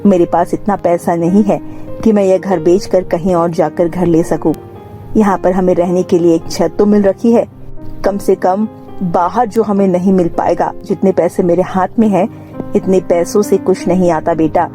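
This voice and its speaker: A woman's voice: fairly low pitch, steady tone, moderate pace, warm tone, soft background music, lightly acted. A woman playing a poor, hardworking, reassuring mother in a cartoon moral story. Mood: reassuring, firm.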